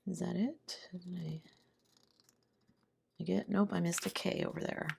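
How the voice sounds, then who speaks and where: A woman hosts a casual craft video in a very deep voice full of fry. She sounds ill and hoarse, as if her voice is giving out. She talks half to herself while she works. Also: fairly even intonation, measured pace, a little mellow. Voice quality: hoarse, low voice. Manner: murmuring to herself while working. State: sick, voice giving out.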